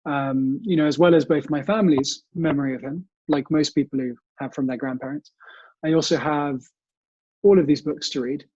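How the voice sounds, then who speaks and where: A man's voice: a little high, steady tone, moderate pace, mellow, husky, no echo, muffled recording. A young British man speaking politely as an interview guest, telling family memories in a conversational way. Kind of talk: conversational interview answers, reminiscing. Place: remote recording from home.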